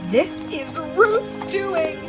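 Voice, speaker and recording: A very high-voiced jilted heroine in an overwrought soap opera. She delivers every line as grand melodrama, with music swelling behind her. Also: expressive, drawn-out words, full character voice. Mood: accusing, outraged. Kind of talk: hammy soap opera acting.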